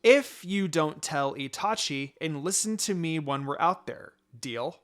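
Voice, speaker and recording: A man voicing a young female ninja in a story reading, firm and sardonic but secretly soft-hearted. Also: a little high, unhurried. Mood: exasperated.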